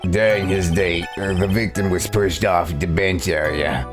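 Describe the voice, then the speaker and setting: A man's voice: sits low, steady tone, measured pace. A man voicing a stern, fussy judge in a video game, formal but stumbling over words. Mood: grave, deliberate. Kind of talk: voiced video game playthrough. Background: video game music.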